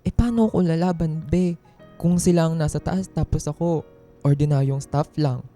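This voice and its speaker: A man's voice: on the high side, steady tone, normal speed, mellow, faint music behind, natural voice. A man playing a downtrodden, self-doubting office worker, plaintive and hurt, in a radio drama. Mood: hurt, despairing. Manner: plaintive, hurt, deferential to bosses.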